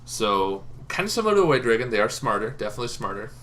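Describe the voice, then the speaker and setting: A man's voice: medium pitch, very animated, racing, fairly bright tone, a little hiss or hum. A young man casually explaining tabletop game monsters, rambling with frequent ums and restarts. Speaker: tabletop game video host.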